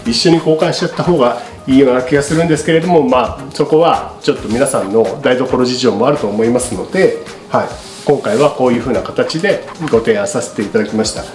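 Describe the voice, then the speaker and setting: A middle-aged man presents to camera in an informal, courteous, explanatory manner. His voice sits at an average pitch. The room adds clear echo, and music plays faintly behind him. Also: quick pace, darkish tone. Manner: polite, explanatory, unscripted. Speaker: bicycle shop presenter. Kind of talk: casual product explanation to camera. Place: small indoor studio with paper backdrop.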